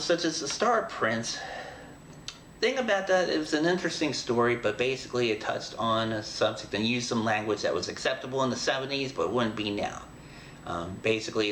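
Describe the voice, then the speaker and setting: Halting man hosting in an echoey room. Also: medium pitch, a bit bright. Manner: halting, rambling. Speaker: host of an audio drama show. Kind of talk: casual unscripted commentary. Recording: roomy echo, faint background noise.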